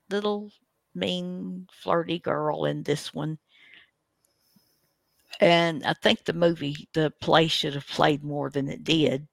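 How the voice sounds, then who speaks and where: Slow, creaky-voiced folksy radio host. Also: mid-range voice, a bit bright, no room sound. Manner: folksy, hesitant, rambling. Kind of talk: casual rambling show introduction.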